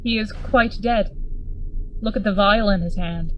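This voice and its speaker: A woman's voice: medium pitch, unhurried, lo-fi sound, slight background noise, lightly acted. A woman acting a grave, commanding Victorian friend investigating a horror in a gothic radio drama, formal and urgent in manner. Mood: grim, puzzled.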